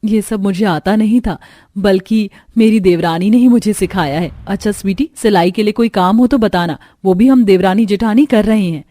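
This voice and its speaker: A woman voicing a gentle, hardworking elder daughter-in-law in a cartoon story, earnest and soft-spoken. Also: medium pitch, normal speed, dry recording, close to an everyday voice. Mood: grateful. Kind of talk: Hindi cartoon story dialogue.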